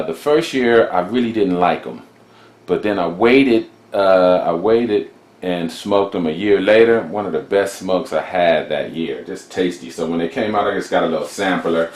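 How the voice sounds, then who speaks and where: Deep voiced guy rambling about his cigars, chill and chatty, roomy echo. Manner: laid-back, rambling, chatty with fillers. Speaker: cigar reviewer. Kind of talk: casual show-and-tell vlog. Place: room beside his humidor.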